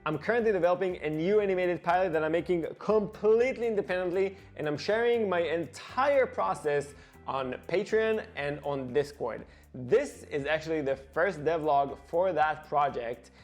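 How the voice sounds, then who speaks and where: Male animation director hosting his devlog: earnest, expressive read, somewhat high pitch, faint music underneath. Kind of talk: scripted devlog narration.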